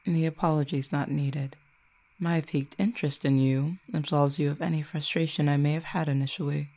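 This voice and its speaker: Casting: ancient cupid for audio roleplay, possessive and haughty, dark mellow tone with gravelly fry, deliberate teasing menace performer acting it out. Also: medium pitch, steady tone, natural voice. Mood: intrigued, condescending. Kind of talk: scripted audio roleplay, acted monologue.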